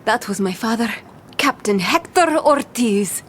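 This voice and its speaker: A woman's voice: a little high, expressive, a little slow, a bit bright, a little hiss or hum. A woman playing a bold, boastful young pirate captain in an audio drama, with theatrical, swaggering pirate talk. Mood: boastful, wary.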